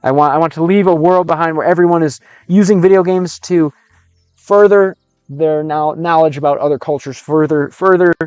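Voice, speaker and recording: A man's voice: fairly high pitch, steady tone, normal speed, darkish tone, smooth, no echo, muffled recording, soft background music. A man livestreaming, chatting with viewers and translating game text as he learns a language, often restarting his sentences. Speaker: streamer learning Russian. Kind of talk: casual livestream chat with viewers.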